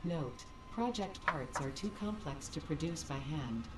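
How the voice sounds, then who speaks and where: Distant low-voiced woman game announcer. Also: lively intonation, measured pace, noisy background. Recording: roomy, distant game audio.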